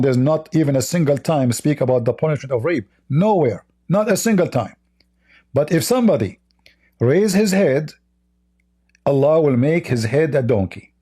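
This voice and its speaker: Insistent debating man with a mellow voice. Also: mid-range voice, breathy edge. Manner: combative, insistent, repeats himself to interrupt. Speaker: debate show host. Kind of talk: heated religious debate.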